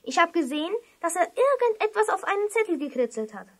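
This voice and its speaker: A woman playing an eager, excitable child detective who talks urgently in a children's audio drama. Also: very high-pitched, brisk, heavily acted. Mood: earnest worry.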